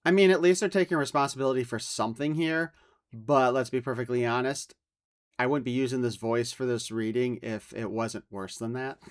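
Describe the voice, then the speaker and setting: A man's voice: medium pitch, fairly even intonation, fairly quick, smooth. A man giving blunt, sarcastic commentary in an irritated, sweary manner.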